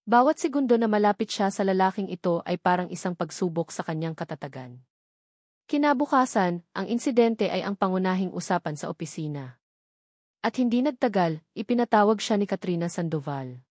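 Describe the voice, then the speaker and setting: A woman narrates a scripted romance drama. Her voice sits at an average female pitch. The sound is dry, with little room around it. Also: lively intonation, brisk, darkish tone, no room sound.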